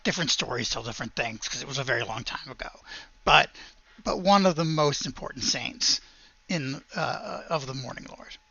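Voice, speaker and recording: A game master improvising tabletop game narration in a halting, hesitant way. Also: medium pitch, creaky, bright, forceful tone, breathy edge, no echo, highs cut off.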